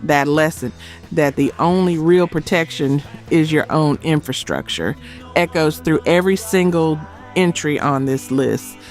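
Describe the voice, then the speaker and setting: A man's voice: a little high, measured pace, faint music behind. A man giving scripted documentary narration in short, emphatic, dramatic phrases. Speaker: narrator of a music history video.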